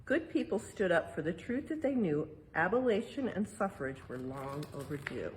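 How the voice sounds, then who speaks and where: A woman reading a picture book aloud in a museum exhibit like an educator, pausing for casual explanations and checking in with little tag questions. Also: lowish voice, very animated, slight background noise. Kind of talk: read-aloud storytime with casual explanatory asides.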